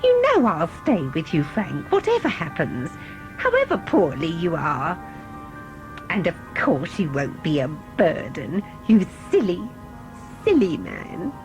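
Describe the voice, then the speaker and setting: A woman playing a fussy, affectionate wife in an audio drama, coy, chatty and gently scolding. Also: fairly low pitch, wildly swooping pitch, a little slow, faint music behind, heavily acted, rough recording. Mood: fond scolding.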